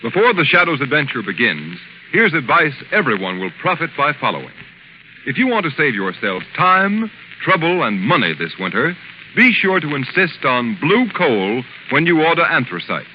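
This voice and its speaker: A male announcer from 1930s radio delivers formal, emphatic copy. His mid-pitched voice is very animated, heard on a muffled recording with heavy noise. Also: normal speed.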